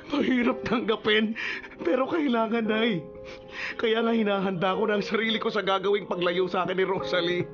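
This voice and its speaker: A male actor playing an anguished, remorseful, gravely ill husband in a Filipino radio melodrama, with a Filipino accent and an emotional, pleading delivery. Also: high-pitched, fairly even intonation, normal speed, highs cut off. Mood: tearful, resigned. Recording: music under the dialogue.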